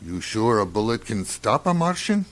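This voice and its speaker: A man's voice: lowish voice, big pitch swings, normal speed, background noise. A man playing an earnest, questioning spaceship crewman in a radio drama. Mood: wary.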